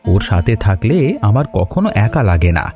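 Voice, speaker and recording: A man voicing an earnest, devoted young businessman in an animated story. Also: fairly low pitch, moderate pace, highs cut off, music in the background.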